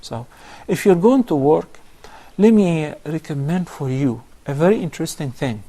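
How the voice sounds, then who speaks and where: A man, a sheikh on a call-in show, giving measured, step-by-step religious advice. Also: a little high, expressive, dark tone. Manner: measured, instructive, lists steps. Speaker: sheikh answering viewer questions.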